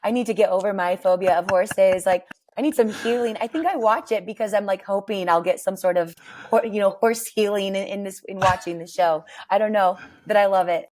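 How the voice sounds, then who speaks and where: A woman in her forties with a raspy voice, chatting and telling stories as a podcast guest, laughing often. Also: mid-range voice, fairly quick, a little mellow. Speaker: guest, worship singer. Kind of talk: casual interview chat.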